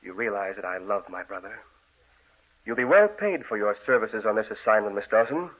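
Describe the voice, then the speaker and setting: An actor playing a solemn, commanding gentleman of the house in a vintage radio horror drama, with a husky voice. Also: on the low side, very animated, fairly quick. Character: grave, controlling older brother, master of the house. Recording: tinny old radio recording.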